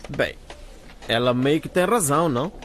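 A man's voice: mid-range voice, animated, moderate pace, close to an everyday voice. A man playing a frank, scolding teenage schoolboy in a colloquial radio drama. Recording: faint background noise.

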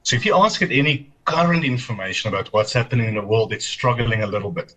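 A man's voice: mid-range voice, fast, a crowd in the background. A middle-aged man, an Afrikaans speaker, giving earnest, explanatory interview answers and sometimes correcting himself. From South Africa. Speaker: technology commentator guest.

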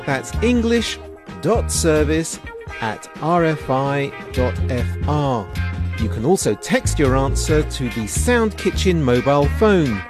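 A man's voice: a little high, big pitch swings, slow pace. A man as a radio announcer, reading scripted contact details for listeners over music.

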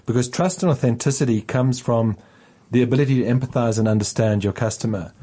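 A man's voice: medium pitch, warm tone, slightly rough. A man co-hosting a podcast panel chat, earnest and agreeable. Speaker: podcast co-host.

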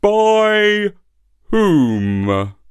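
A man voicing a vain, gullible female donkey who speaks with a slow, simpering drawl. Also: high voice, very animated, clean tone, no room sound, voice pushed up, heavily acted. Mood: eager. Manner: simpering, drawn-out.

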